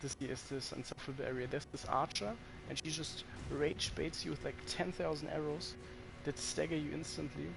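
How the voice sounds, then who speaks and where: A man's voice: fairly high pitch, fairly even intonation, fairly quick, vocal fry, hardly any pauses, over music. A man with a German accent chatting casually to his viewers while he plays, swearing when the game frustrates him. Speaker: streamer. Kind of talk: casual gameplay commentary to chat.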